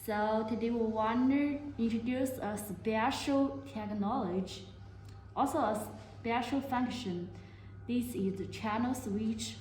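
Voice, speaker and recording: A woman giving a product demonstration to camera in halting, non-native English phrasing. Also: on the high side, a little mellow. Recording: very echoey room, faint noise.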